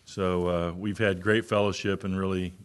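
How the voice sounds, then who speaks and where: Soft-spoken church man, hesitant. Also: low-pitched, flat delivery, normal speed, smooth. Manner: hesitant, with fillers and restarts. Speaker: church member. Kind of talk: informal remarks to congregation.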